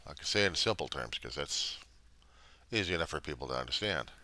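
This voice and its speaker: A middle-aged American man with an extremely deep voice that still has a bright, forceful edge. He rambles casually in plain-spoken fashion, halting often as he thinks aloud. The sound is dry and close, with very little room. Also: lively intonation, dry recording. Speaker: vlogger.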